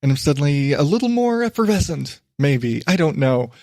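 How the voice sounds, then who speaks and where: Chatty man with a higher-pitched podcast voice. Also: big pitch swings, moderate pace, warm tone, dry recording, studio quality. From western United States. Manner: chatty, self-deprecating, digressive. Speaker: podcast host. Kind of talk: rambling solo podcast monologue. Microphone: studio microphone.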